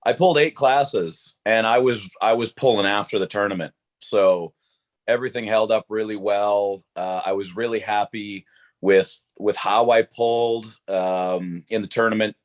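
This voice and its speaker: Hoarse man, deep voice. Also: normal speed. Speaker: guest. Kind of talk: casual chat.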